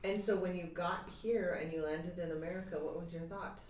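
Low-voiced woman hosting in a roomy office. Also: steady tone, fairly quick. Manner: warm, encouraging, many short affirmations. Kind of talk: casual interview.

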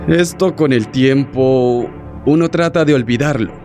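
An actor playing a cold, vengeful army colonel in an audio drama, speaking with deliberate command. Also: male voice, medium pitch, darkish tone, soft background music, lightly acted. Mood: bitter, vengeful.

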